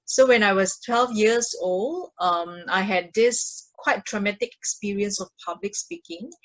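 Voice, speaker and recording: A woman from Indonesia with an Indonesian accent, sharing personal stories as a podcast guest in a halting, casual way. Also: medium pitch, expressive, fairly bright tone, poor audio. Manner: halting, with frequent repeated words. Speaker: podcast guest, mindfulness practitioner.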